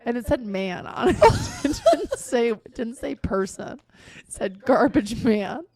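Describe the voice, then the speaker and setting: A young woman co-hosting a podcast in casual chat, talking fast, laughing often and acting out quotes. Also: fairly high pitch, huge pitch swings, a little mellow, husky, no echo. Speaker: podcast co-host.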